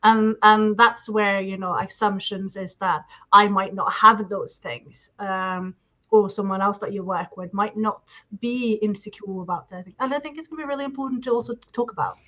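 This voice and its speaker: A woman speaking English as her second language, at a middling pitch, thinking aloud in long, meandering sentences padded with fillers. Her voice comes through a dull, slightly muffled lo-fi recording that takes the edge off her words. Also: steady tone, moderate pace, pushed and bright. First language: not English. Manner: thoughtful, talks in long hedged sentences with fillers. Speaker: guest discussing marketing and projects. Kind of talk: casual reflective chat.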